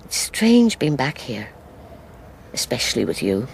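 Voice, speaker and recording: A wistful grandmother in a radio drama. She remembers with a wide, expressive melody in her voice. There is some background noise behind her. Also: a bass voice, measured pace, gravelly, heavily acted. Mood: reflective, sad. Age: older. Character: wistful grandmother recalling her lost sister.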